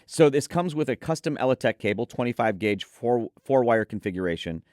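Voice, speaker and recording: A man's voice: mid-range voice, expressive, brisk, a little mellow, no room sound, studio quality. A man hosting a conversational product preview, reading out specs and chatting with enthusiasm about them. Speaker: host presenting an audio gear preview.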